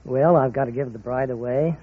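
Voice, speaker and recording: A man's voice: mid-range voice, a little fast, heavy background noise. A middle-aged man, a quiz show contestant, giving plain answers to an interviewer. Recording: tinny, narrow old radio broadcast.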